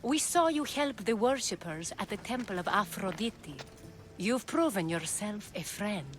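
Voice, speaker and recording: An actress playing a self-assured, shrewd leader of ancient Greek courtesans in a video game, speaking in a measured, formal way. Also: female voice, mid-range voice, expressive, close to an everyday voice. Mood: composed. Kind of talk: video game cutscene acting. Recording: music behind the dialogue.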